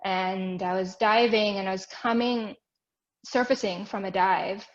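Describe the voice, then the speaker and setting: A woman's voice: medium pitch, steady tone, measured pace, muffled recording. A young woman from Switzerland, guest on a webinar, telling her story casually with many ums and restarts over a video call. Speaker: guest, ocean art nonprofit.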